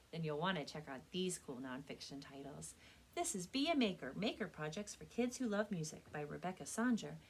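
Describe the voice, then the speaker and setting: A woman speaking as a children's librarian and storyteller, with an expressive, clearly enunciated read-aloud delivery. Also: mid-range voice. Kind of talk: children's storytelling and book recommendations.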